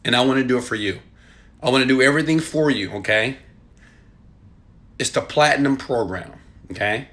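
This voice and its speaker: A man giving an emphatic, insistent motivational sales pitch, speaking straight to the viewer as an online marketer. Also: normal speed, pauses often, pushed and bright.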